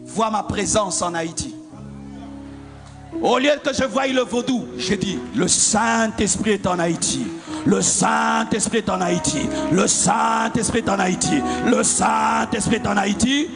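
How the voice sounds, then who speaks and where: A man from the Congo preaching a fervent sermon as a pastor, repeating lines and shouting in a half-sung cadence. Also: sits high, unhurried, gravelly. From Democratic Republic of the Congo. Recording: preaching over loud music.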